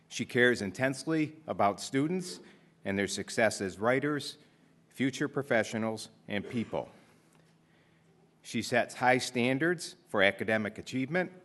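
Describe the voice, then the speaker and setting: A man with a fairly low voice introduces a speaker at a ceremony. He reads a formal introduction at a steady pace into a podium microphone in a large hall.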